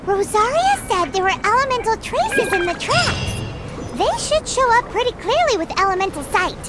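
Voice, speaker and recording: A tiny, naive pixie companion from a video game. The voice is extremely high and squeaky, leaping wildly in pitch. She exclaims like an excitable child, with music playing behind her. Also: moderate pace. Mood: curious. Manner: exclamatory, refers to self in third person.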